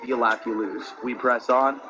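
A man with a raspy voice, still recovering from losing it, hosting a meme video with an upbeat intro. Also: medium pitch, steady tone, measured pace, over music. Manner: upbeat, hyped greetings. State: recovering from lost voice.

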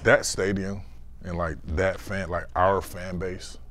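Deep male voice, thirties, casual interview, emphatic and repetitive, some background noise. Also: level tone, slow pace, a bit bright. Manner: emphatic, repeats phrases. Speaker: former football player.

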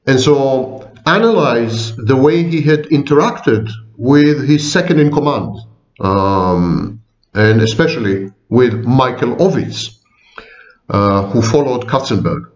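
Wry older man lecturing, lively pitch. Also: slow. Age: 60s. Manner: wry, digressive, repeats and corrects himself. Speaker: psychology professor. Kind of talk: informal lecture to viewers. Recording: echoey room, dull lo-fi sound.

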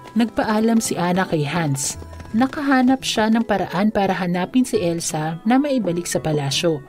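A woman narrates a children's fairy tale with a mellow, soft-edged tone. Her pitch sits in the middle, and music plays behind her. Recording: music under the voice. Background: background music.

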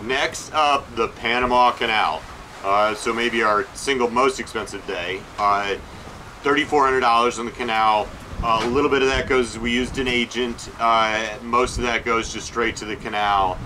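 A man talking through a list of costs aboard a sailboat, calm and practical, stopping often on "uh" and "um". His pitch sits in an ordinary middle range. Wind and boat noise run clearly behind him. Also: moderate pace, poor audio. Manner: matter-of-fact, listing figures with many uh fillers. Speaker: sailing vlog co-host. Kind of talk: casual expense breakdown.

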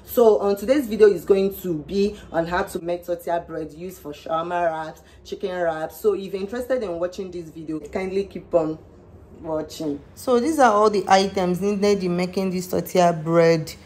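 A woman's voice: on the low side, normal speed, a little hiss or hum. Nigerian woman narrating a cooking tutorial. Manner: friendly step-by-step instruction with some non-standard phrasing. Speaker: cooking channel host. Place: home kitchen. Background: soft background music.

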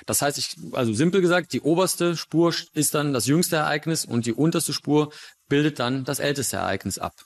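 A man's voice: a little high, expressive, normal speed. A man speaking as a forensic expert in an interview, explaining things step by step in simple terms.

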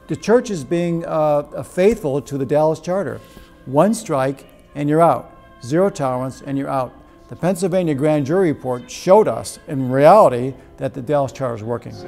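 A man's voice: mid-range voice, gravelly, dark tone. A middle-aged man, a priest, speaking emphatically and insistently in an interview indoors. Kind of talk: documentary interview. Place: dim indoor room.

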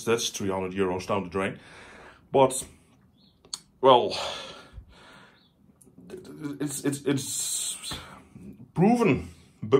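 A man from the Netherlands with a Dutch accent, casually showing his home stereo system, talking with enthusiasm and many fillers and restarts. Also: mid-range voice. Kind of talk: casual home tour vlog. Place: living room at home.

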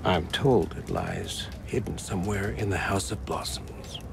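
An actor playing a solemn, earnest rebel leader in a video game, measured and persuasive. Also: male voice, deep voice, creaky, loud music behind the voice. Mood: hushed confiding. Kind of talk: video game cutscene acting.

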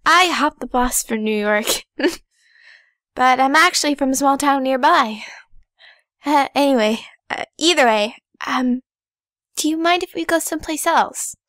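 Woman acting a high, bright, timid young girl. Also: measured pace, dry recording, clean studio sound. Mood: nervous. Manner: hesitant, nervous. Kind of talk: audio drama acting.

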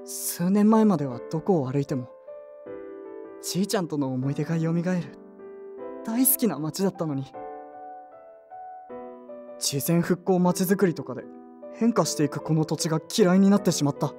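A woman's voice: fairly low pitch, animated, moderate pace, long pauses, mellow, soft background music, natural voice. Woman acting an earnest, grieving young man. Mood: sorrowful. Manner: earnest, emotional, polite to elder. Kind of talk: Japanese audio drama acting.

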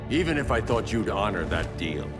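An actor playing a grim, resolute masked vigilante leader in a video game, with a gruff voice. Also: male voice, on the low side, very animated, moderate pace, loud music behind the voice. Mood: grim, decisive. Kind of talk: video game cinematic acting.

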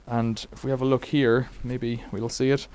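A man's voice: mid-range voice, little pitch movement, normal speed, a little mellow, clear, resonant tone. A middle-aged man casually demonstrating a bike repair in a cluttered workshop, rambling with wry asides.

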